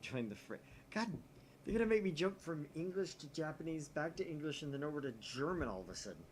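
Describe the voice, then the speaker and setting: A man's voice: a little high, very animated, fast talker, a little hiss or hum. A man hosting a let's play, reading a visual novel's narration aloud with casual, joking commentary.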